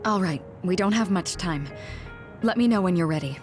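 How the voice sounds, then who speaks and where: A woman playing a calm, supportive young female guide in an English game dub, over music. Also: on the low side, normal speed, lightly acted. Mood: focused.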